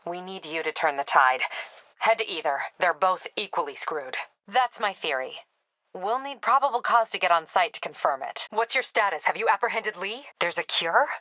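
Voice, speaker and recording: A woman's voice: lowish voice, expressive, moderate pace, bright, forceful tone, dry recording. A woman playing a tough, overworked police captain in a video game, speaking in a clipped, authoritative, dry manner. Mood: grim, businesslike. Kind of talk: dramatic video game dialogue.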